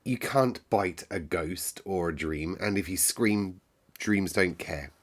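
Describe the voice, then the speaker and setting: A man's voice: low voice, slow pace. A man voicing a sickly, haughty young boy from a storybook, brooding and earnest in manner. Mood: brooding, defiant. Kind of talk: storybook character reading.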